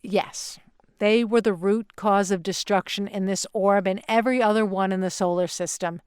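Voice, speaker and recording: A woman's voice: medium pitch, fairly even intonation, normal speed, a little mellow, smooth, dry recording, studio quality, lightly acted. A woman playing an unflappable, businesslike alien real estate agent in an audio play, with a salesy delivery. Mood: cautioning.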